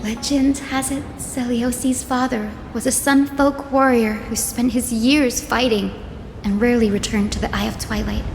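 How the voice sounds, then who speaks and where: A woman voicing an earnest, gentle young heroine in a fantasy video game, with a polite and caring manner. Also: fairly high pitch. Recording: reverberant hall, music behind.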